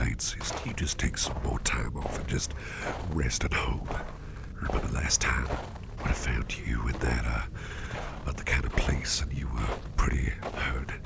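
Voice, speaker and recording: Man as a very deep, gravelly whispering caring boss. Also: heavy background noise, heavily acted. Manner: soothing, hesitant, repeating reassurances. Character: caring, protective male boss, gentlemanly businessman, soft-spoken and doting. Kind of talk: ASMR roleplay, intimate personal attention.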